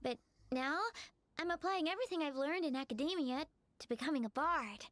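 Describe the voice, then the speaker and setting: A high, youthful female voice from a woman acting as a bookish would-be bard. She is polite and earnest, speaking as a studious character in a video game. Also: brisk, no echo, lightly acted. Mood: eager, inquiring.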